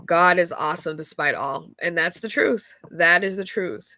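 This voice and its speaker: Stuffy-nosed chatty woman, bright tone. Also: fairly low pitch, normal speed, dry recording. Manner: candid, chatty, frequent fillers and laughs. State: stuffy nose. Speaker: podcast host. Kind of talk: casual faith-centered chat.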